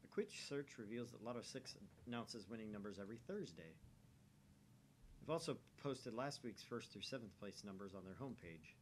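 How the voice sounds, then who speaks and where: Male gaming host reading story narration, animated intonation, light background noise and rumble. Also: moderate pace. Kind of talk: narration read aloud from a visual novel.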